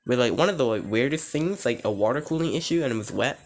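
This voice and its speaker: A man with a hoarse, nearly lost voice, giving an excitable, rambling casual reaction to a race. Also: medium pitch, fast talker. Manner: excitable and rambling, many restarts and "like"s. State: hoarse, voice almost gone. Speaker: racing fan reviewing a race.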